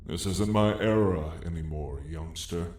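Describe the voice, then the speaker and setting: A man's voice: low-pitched, measured pace, darkish tone. A man playing an ancient, weary dragon lord with dry menace, telling his tale in a grand, wry, old-fashioned way for an ASMR roleplay. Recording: roomy echo, faint background noise.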